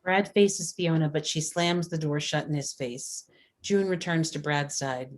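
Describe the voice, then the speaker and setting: Female narrator for screenplay stage directions; deep-pitched voice, scripted read. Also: unhurried.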